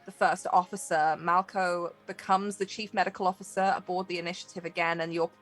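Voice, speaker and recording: A woman's voice: lowish voice, little pitch movement, a little fast, faint music behind. A woman running a tabletop game as game master, improvising narration in loose, meandering phrases.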